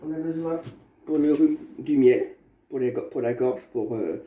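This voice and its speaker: A man vlogging at home while he has a cold, showing his remedies in a halting, rambling way that repeats itself. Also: mid-range voice, dark tone. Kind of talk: casual home how-to vlog. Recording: echoey room with faint background noise.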